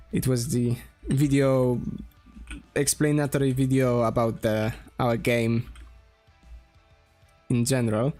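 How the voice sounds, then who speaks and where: A man with a Polish accent talks slowly as he draws, thinking aloud with many pauses and restarts. His voice sits at an ordinary middle pitch. Quiet music plays faintly behind him. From Poland. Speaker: streamer and game developer. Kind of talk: casual drawing stream talk.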